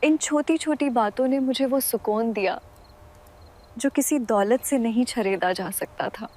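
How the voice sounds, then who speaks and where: A woman's voice: fairly high pitch, a little slow, darkish tone, slight background noise, natural voice. A woman playing a poor, kind-hearted village woman who narrates her moral story in an earnest, reflective way. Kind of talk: scripted first-person moral story narration. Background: background music.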